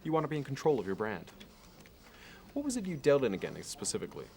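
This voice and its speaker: A man playing a polished, courteous and meticulous finance executive in an ASMR roleplay, speaking in a measured, halting and formal way. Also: medium pitch, wildly swooping pitch, a little mellow. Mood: polite, businesslike. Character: polished, courteous, obsessively appraising male Manhattan finance executive.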